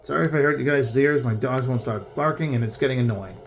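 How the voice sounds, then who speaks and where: A man's voice: medium pitch, fast talker, slight background noise. A young man from Pennsylvania giving casual, rambling gameplay commentary from his bedroom. He is chatty, drifts off topic and pokes fun at himself. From Pennsylvania, USA. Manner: chatty, easily sidetracked, self-mocking. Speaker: gaming YouTuber. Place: bedroom at home.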